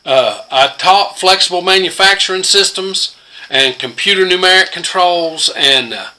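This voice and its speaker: A man's voice: sits high, moderate pace, pushed and bright. An older man in his home workshop, showing his tools in a plain-spoken, rambling way with many fillers. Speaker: homesteader and host. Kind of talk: casual workshop demonstration. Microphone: phone camera close to the workbench.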